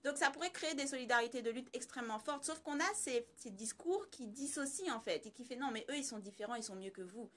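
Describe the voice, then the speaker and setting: Higher-voiced woman giving emphatic explanatory commentary. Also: expressive, quick pace, few pauses. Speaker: commentator on social issues. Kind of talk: conversational explanatory analysis.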